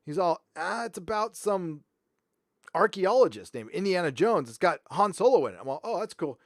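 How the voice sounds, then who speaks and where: Casting note: middle-aged male streamer, slightly high voice, loose and lively, dry close mic. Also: moderate pace, no room sound. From Southern California. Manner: loud and animated, swings from mumbles to shouts. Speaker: livestream host. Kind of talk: casual livestream chat reading donations.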